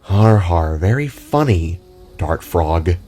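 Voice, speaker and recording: Guy playing a dry loyal hero dude, lowish voice, reassuring, audio drama with music. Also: animated, takes it slowly, warm tone, exaggerated voice. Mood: wry. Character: wry, loyal male hero, a reassuring friend. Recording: music under the voice.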